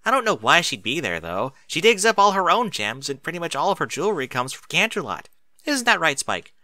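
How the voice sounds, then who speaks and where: A man plays an impatient little filly in a storybook. The voice is raised high, bright and animated, full of youthful excitability. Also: a little fast, dry recording, full character voice. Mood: exasperated.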